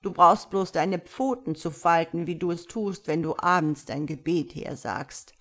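A woman voicing a wise, patient tomcat in a storybook, speaking in a measured, gently instructive and slightly pompous way. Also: on the low side. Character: wise, patient male tomcat, calm mysterious mentor. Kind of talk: storybook character voice.

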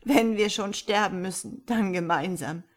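A woman's voice: mid-range voice, unhurried, close to an everyday voice. A woman voicing a brave, loyal young lad in a fairy tale, speaking with urgency and emphasis. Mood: devoted.

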